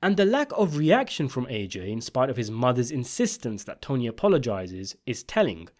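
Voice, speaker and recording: A man's voice: mid-range voice, big pitch swings, moderate pace, darkish tone. A man delivering scripted video essay commentary on a TV series, in an emphatic, opinionated way. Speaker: video essayist and channel host.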